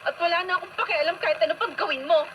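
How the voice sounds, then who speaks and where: Young girlfriend, very high voice, scolding, exasperated, radio drama, noisy background. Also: rapid-fire, lo-fi sound. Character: fed-up, scornful young girlfriend.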